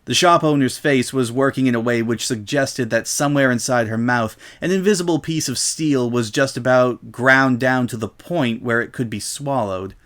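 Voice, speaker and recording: A man's voice: medium pitch, moderate pace, few pauses, a bit bright. A man narrating a comic story as a dramatic reading.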